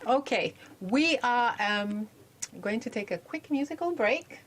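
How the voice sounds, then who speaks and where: A woman's voice: fairly high pitch, lively intonation, a little slow, a bit bright, slight background noise. A woman hosting an interview show, encouraging and affirming her guest with frequent murmurs. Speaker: radio show host.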